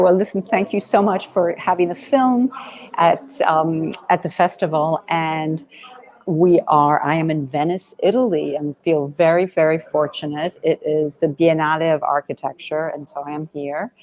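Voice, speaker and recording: A woman answering interview questions as a film director, conversational and earnest, often halting and circling back to rephrase. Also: sits low, darkish tone.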